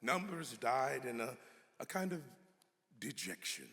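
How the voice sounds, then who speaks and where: A man performs a dramatic reading of a historical document in a reverberant hall. His delivery is slow and emphatic, with weighty halts between phrases. The voice is bright and forceful, with a gravelly creak. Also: mid-range voice, sing-song. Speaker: actor giving a dramatic reading. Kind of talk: dramatic reading of an 18th-century pamphlet.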